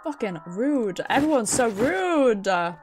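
British woman streamer, higher-pitched, over music. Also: sing-song, a little slow. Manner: playful, sweary, chatting to viewers. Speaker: video game streamer. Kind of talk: casual live gameplay commentary.

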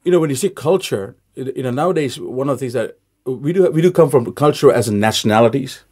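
A man who is a pastor talks in a radio studio with an even, mid-range voice. He argues his point with emphasis and keeps posing questions to the listener. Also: mid-range voice, quick pace, husky. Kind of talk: conversational interview.

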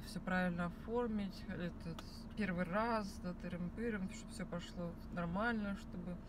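A woman in her thirties chatting casually to camera from a parked car, rambling with many fillers and restarts. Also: medium pitch. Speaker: vlogger. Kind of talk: casual chat. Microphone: phone camera on the dashboard. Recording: car with noise behind.

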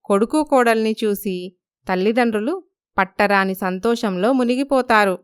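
A woman's voice: a little high, normal speed, clear, resonant tone, no room sound, studio quality. A woman narrating a scripted moral story as storyteller.

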